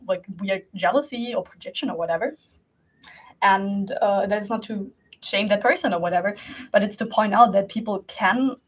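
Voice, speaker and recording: A woman's voice: medium pitch, husky, poor audio. A young woman from Germany whose first language is Russian, talking casually to camera as a creative coach, rambling with many fillers and restarts and laughing often.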